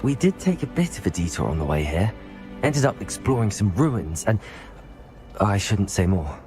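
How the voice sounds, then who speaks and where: A polite young student wizard from a fantasy game. His voice is low yet lively in pitch, deferential in manner, with clear music behind it. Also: normal speed. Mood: polite, guarded. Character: polite young male wizarding student, new fifth year. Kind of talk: video game character dialogue.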